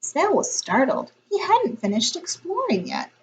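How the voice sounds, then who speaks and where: A woman reading a picture book aloud as a teacher would, in a deliberate, expressive storytelling style. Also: high-pitched, low-quality recording.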